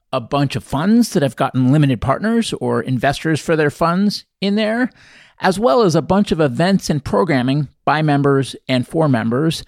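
A man's voice: a little high, vocal fry, no room sound, clean studio sound. A man hosting a podcast, introducing the show and interviewing a guest.

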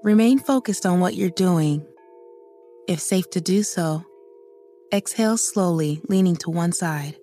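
A woman narrating a public service announcement, giving slow, soothing breathing instructions. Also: on the low side, dark tone, soft background music.

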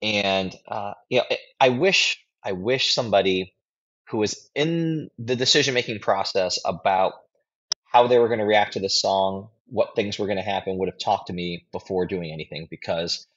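Low, husky man commenting, slightly dull audio. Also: moderate pace, fairly bright tone. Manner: emphatic, blunt, informal. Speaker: guest commentator, political strategist and writer. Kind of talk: conversational opinion commentary.

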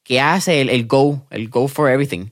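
A man hosting a casual interview podcast, relaxed and tossing English words into his Spanish. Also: medium pitch, normal speed, no room sound, clean studio sound. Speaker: podcast host.

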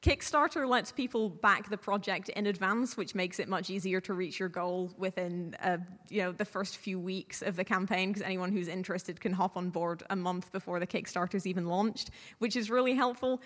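A long hall reverb surrounds a woman with a medium-pitched voice. She explains things informally, as a guest giving advice on a live panel. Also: moderate pace, hardly any pauses, a bit bright.

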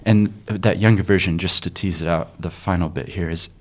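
Host: man, very deep voice, encouraging interviewer asking probing questions. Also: steady tone, normal speed. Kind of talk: conversational interview.

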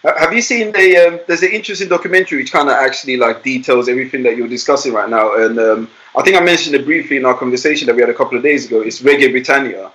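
A man's voice: mid-range voice, very fast, hardly any pauses, fairly bright tone, poor audio. A man interviewing someone in a casual, conversational way, listening attentively and agreeing often. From born in Ghana.

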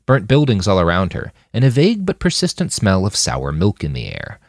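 Guy narrating a novel, slightly low voice, really animated intonation, dry recording. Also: dry recording. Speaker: author narrating his novel. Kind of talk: scripted audiobook narration.

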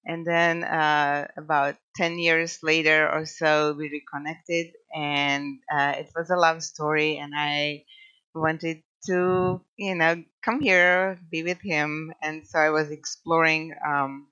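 A woman's voice: sits low, a bit bright, dull audio. A woman from Croatia with a Croatian accent, a podcast guest talking casually with many fillers and restarts. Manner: hesitant, many fillers and restarts, laughs often. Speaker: podcast guest, scientist and nature photographer. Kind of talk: casual interview talk.

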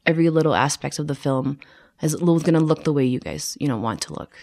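A woman's voice: low voice, animated, fast talker, a little mellow. A woman who grew up in the United States, a production designer chatting in an interview with many fillers, restarts and frequent laughs. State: tired. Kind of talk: casual interview conversation.

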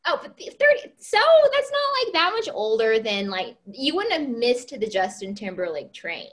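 A woman in her mid-thirties chats casually with a co-host, bubbly and gushing, with giggles slipping in. Her voice sits a bit high and swoops widely up and down. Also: very animated, normal speed, fairly bright tone. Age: 30s. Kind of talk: casual chat.

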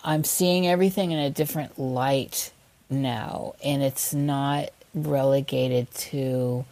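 Give me a woman guest with a very low voice, speaking thoughtfully, recorded dry. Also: fairly even intonation, slow, creaky, dark tone, no echo. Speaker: podcast guest. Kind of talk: casual interview chat.

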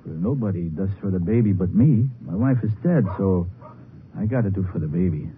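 German widower, swooping pitch, old radio. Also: male voice, lively intonation. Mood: subdued, mournful. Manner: halting, defensive, broken English. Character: big, stolid, grieving German immigrant widower. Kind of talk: 1950s radio acting. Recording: muffled, noisy 1950s radio recording.

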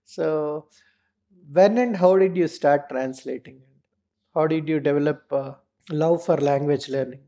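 Mellow woman host asking questions. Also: low-pitched, unhurried. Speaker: podcast host. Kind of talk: interview questions.

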